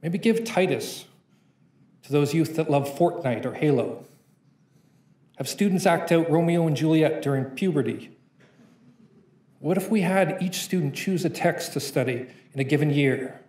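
A young man reads his spoken-word poem aloud from notes at a podium mic. His voice sits slightly high, and his delivery is rhythmic and playful. The conference room around him is echoey. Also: animated, normal speed, pauses often, a little mellow. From Canada. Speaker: poet.

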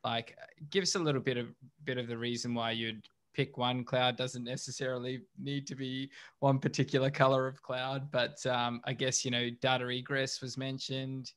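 A man's voice: mid-range voice, level tone, moderate pace, fairly bright tone, smooth. A man moderating questions at an online meetup, casual and conversational over a video call. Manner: casual, friendly asides. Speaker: meetup host.

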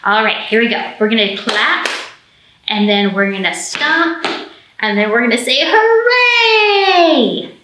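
A young American woman, a children's librarian, presenting storytime to small children in an animated, direct way, recorded indoors. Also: mid-range voice, moderate pace, noisy background. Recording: roomy, echoing indoor sound.

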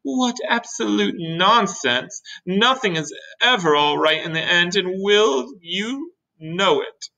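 A gloomy blind earthworm played by a woman reading a storybook, pitched high and swooping wildly. He drags out his words in melodramatic moans, forever sure of doom. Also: animated, creaky, sharp tone, exaggerated voice, low-quality recording. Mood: scornful gloom.

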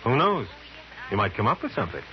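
An actor playing a gruff, teasing police detective with blunt slangy talk in a 1950s radio drama. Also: male voice, medium pitch, huge pitch swings, quick pace. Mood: amused, teasing. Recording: muffled, noisy old radio recording.

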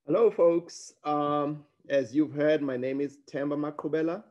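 A man's voice: fairly high pitch, measured pace, a little mellow, dull audio. A middle-aged man, a school headmaster, speaking earnestly and emphatically over a video call from a study. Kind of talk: welcoming webinar address. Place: home study with bookshelves, indoors. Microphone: video call webcam.

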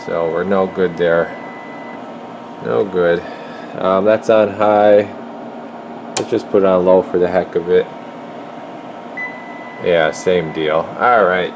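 A slow-talking man with a very low voice hosts a casual repair video from his workbench. He thinks aloud as he goes, in an unhurried way. A clear, steady noise hums behind him in the workshop. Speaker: radio repair technician and video host. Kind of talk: casual hands-on repair commentary. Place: indoor electronics workshop bench. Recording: noisy workshop with steady background hum.

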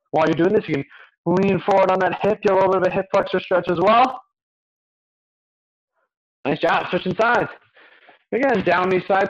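A young man coaching a workout as he moves, giving encouraging, short, repeated instructions. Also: high-pitched. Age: young adult. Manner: physically active while talking. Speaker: fitness coach. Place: small indoor home gym. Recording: dull lo-fi webcam audio.

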